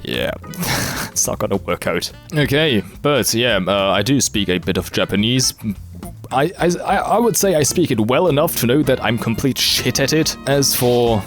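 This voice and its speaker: A man's voice: mid-range voice, lively intonation, moderate pace, faint music behind. A young man in his late teens from Germany, a native German speaker, giving a casual, rambling, foul-mouthed and self-deprecating Q&A commentary over gameplay. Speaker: gaming video creator.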